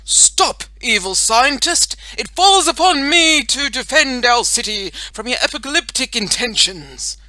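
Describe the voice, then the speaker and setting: A woman's voice: on the high side, expressive, a little slow, hardly any pauses, pushed and bright, dry recording, pitched above the performer's own voice. A woman voicing an earnest young boy who plays a pompous, dramatic superhero in a children's audiobook, proclaiming grandly and urgently. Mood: bold, commanding.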